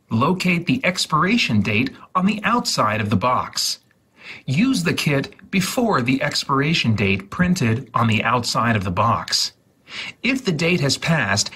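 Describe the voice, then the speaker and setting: A man reading an instruction leaflet aloud in a deliberate, deadpan way, drawing out and repeating some words. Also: medium pitch, husky. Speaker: host of a gaming livestream channel.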